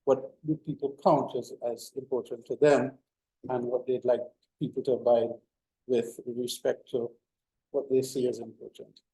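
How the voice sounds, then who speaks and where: A man's voice: mid-range voice, level tone, normal speed, mellow, reverberant, rough recording. A middle-aged man giving an informal classroom lecture, full of fillers and restarts. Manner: rambling, many fillers and restarts. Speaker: university lecturer.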